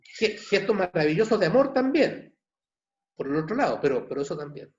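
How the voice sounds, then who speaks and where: A man in his sixties from Chile with a Chilean accent, a novelist answering questions at an online book launch, halting and repeating words. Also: sits high, sing-song. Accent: Chilean Spanish. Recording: dull muffled video call audio.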